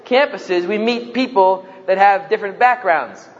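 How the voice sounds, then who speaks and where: A man's voice: sits high, normal speed, lo-fi sound. Joking New Jersey man in a hall. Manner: joking storyteller, acts out quoted voices. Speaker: campus speaker. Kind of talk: humorous public talk.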